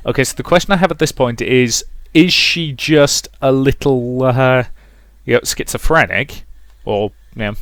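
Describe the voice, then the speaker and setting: A man's voice: mid-range voice, moderate pace, dry recording, studio quality. A man hosting a let's play, reading narration and adding casual asides. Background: soft music.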